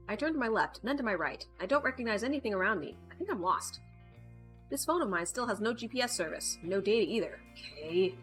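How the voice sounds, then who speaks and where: Bright-voiced woman reading a game aloud over music. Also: medium pitch. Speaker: gaming video host. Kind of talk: reading a visual novel aloud with casual asides. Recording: background music behind the voice.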